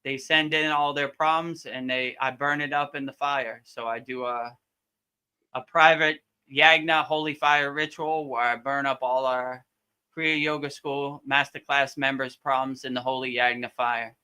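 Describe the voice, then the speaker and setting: A man's voice: medium pitch, steady tone, moderate pace, bright, forceful tone, smooth. A man hosting a live question-and-answer session as a yoga teacher, chatting informally and often repeating and rephrasing his points. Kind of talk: casual live Q&A chat.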